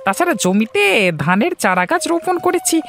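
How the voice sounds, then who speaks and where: A woman's voice: high voice, sing-song, brisk. A woman voicing a worried, gloomy older male village farmer with a fretful, folksy manner, in a children's cartoon.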